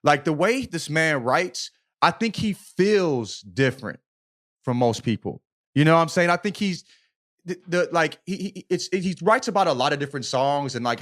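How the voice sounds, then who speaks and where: A man's voice: on the high side, fairly bright tone. A man co-hosting a podcast, chatting casually and earnestly about a book, with effusive, loose, profane phrasing. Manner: profane, repeats "you know what I'm saying". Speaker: podcast co-host. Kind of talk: casual book club chat.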